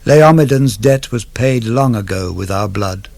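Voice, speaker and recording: A man giving a solemn, measured, declamatory reading of classical poetry for radio. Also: lowish voice, animated, creaky, darkish tone, no room sound. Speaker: poetry reader. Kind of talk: dramatic literary reading for radio.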